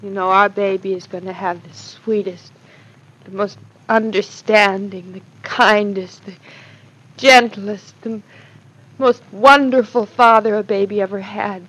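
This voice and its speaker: An actress playing a naive, earnest and affectionate young American wife in 1940s radio drama style. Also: female voice, on the high side, animated, normal speed, a bit bright. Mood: adoring. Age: young adult. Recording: dull, lo-fi vintage radio recording.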